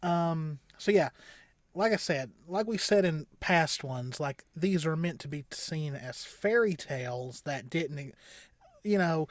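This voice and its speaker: A man hosting a reaction show with casual commentary, often halting and thinking aloud as he goes. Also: a little high, lively intonation, no echo.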